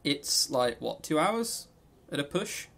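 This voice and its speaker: A man's voice: on the high side, big pitch swings, slow pace, gravelly, a bit bright. A man co-hosting a podcast in casual, unscripted chat, rambling and jokey, with some swearing. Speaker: podcast co-host. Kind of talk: casual unscripted visual novel review chat.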